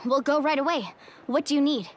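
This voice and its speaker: A woman's voice: high voice, fairly quick, slight background noise, natural voice. A woman playing an earnest, determined young adventurer in a video game, polite and direct. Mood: earnest, curious. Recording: faint music under the voice.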